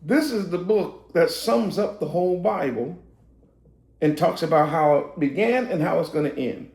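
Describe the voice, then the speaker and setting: A man preaching a casual sermon, swinging from loud, laughing, shouted asides to slow, drawn-out scripture reading. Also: fairly high pitch, lively intonation, creaky, roomy sound. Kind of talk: street-style sermon teaching.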